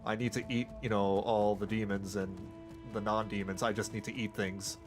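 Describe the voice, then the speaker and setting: A man voicing a male demon villain who devours everything, in a flat, dismissive mocking tone. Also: on the low side, moderate pace, over music, natural voice.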